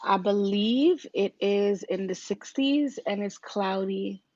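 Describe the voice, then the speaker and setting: A woman with a raspy voice, casually talking viewers through a makeup look on a livestream, step by step. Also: mid-range voice, takes it slowly, darkish tone, no room sound, muffled recording. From Virginia, US. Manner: chatty, talks viewers through each step. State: raspy, deeper voice than usual.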